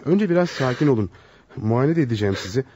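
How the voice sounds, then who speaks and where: Fast-talking, husky, courteous doctor. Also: male voice, medium pitch, expressive, mellow. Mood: reassuring. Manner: courteous, soothing, formal. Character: composed, reassuring male doctor, courteous with patients. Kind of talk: old radio theater acting. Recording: dull, lo-fi old radio drama.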